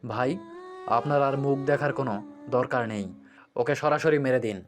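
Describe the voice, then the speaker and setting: A man's voice: medium pitch, moderate pace, over music, natural voice. A man playing a resentful, agitated gangster appealing to his boss in an audio story.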